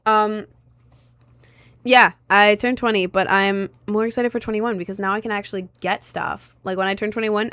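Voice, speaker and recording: A young woman in her late teens chatting casually on a livestream from home, rambling and filling pauses with "like" and "um". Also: mid-range voice, fairly bright tone, no echo. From United States. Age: young adult. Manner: rambling, chatty, lots of "like" and "um" fillers. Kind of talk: casual livestream chat answering viewers.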